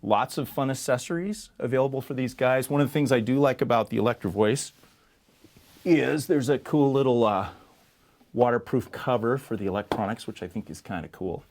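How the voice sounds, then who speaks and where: A man's voice: mid-range voice, fairly quick, a little mellow. A man presenting for a music store, comparing products in a casual, plain-spoken, conversational way as he explains things to the viewer.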